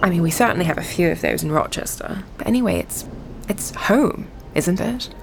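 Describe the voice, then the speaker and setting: An actress playing a blunt but kindly town gossip in an audio drama, talking in a candid, naturalistic, conversational way with small hesitations. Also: female voice, lowish voice, animated, brisk, creaky, background noise. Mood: candid, encouraging.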